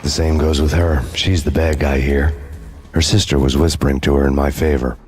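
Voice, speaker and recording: An actor playing a brooding, vengeful hardboiled cop, narrating in a grim, deadpan noir style. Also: male voice, a bass voice, normal speed, soft background music. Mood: somber, resolute.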